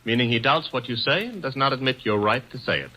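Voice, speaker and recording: An actor playing a brisk, wisecracking detective's assistant in an old radio drama. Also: male voice, mid-range voice, expressive, bright, forceful tone, rough recording. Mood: wry. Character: wisecracking young male assistant and legman. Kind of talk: 1940s radio acting.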